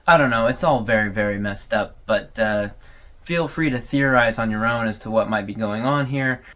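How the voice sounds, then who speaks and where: A man's voice: fairly low pitch, normal speed, fairly bright tone. A man hosting a video analysis, giving casual, conversational commentary with hesitations and informal asides. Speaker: host of a horror series analysis video.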